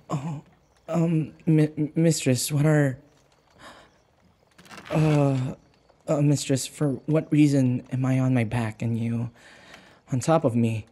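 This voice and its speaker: A man's voice: medium pitch, warm tone. A man playing a nervous, submissive human captive of a vampire mistress in an audio roleplay, stammering, hesitant and apologetic.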